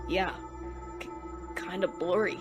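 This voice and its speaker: A woman's voice: on the low side, fairly even intonation, takes it slowly. A woman voicing an innocent, groggy young skeleton child in a comic dub, hesitant and musing. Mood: dazed, unsure. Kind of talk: dubbed comic voice acting. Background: music.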